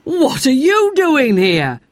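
A woman's voice: high voice, huge pitch swings, takes it slowly, voice pushed up, full character voice. A woman voicing a stern but kind male train driver in a storybook reading. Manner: stern then gentle.

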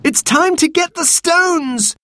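A narrator voicing a brave, excitable young boy in a storybook, exclaiming with urgency and defiance. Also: mid-range voice, moderate pace, breathy edge, no room sound, voice pushed up. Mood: defiant, urgent.

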